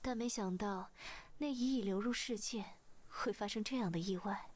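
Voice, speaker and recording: A woman's voice: medium pitch, normal speed, a little mellow, lightly acted. A woman playing an ambitious, self-assured young woman in a visual novel. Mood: resolute.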